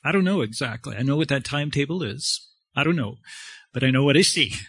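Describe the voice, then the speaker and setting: A man preaching a sermon in a halting, conversational way, pausing often. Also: mid-range voice, animated, fairly bright tone, slightly rough, dry recording.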